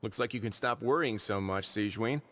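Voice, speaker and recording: An actor voicing a composed, dryly courteous male prison warden in a video game, speaking in a measured, polite way. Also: fairly low pitch, a bit bright, slight background noise, close to an everyday voice. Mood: calm, measured.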